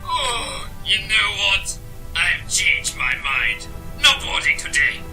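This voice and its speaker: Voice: mid-range voice, very animated, takes it slowly, full character voice. An actor voicing a sly, taunting ship captain with a menacing drawl in a sci-fi audio drama. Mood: mocking. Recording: loud music behind.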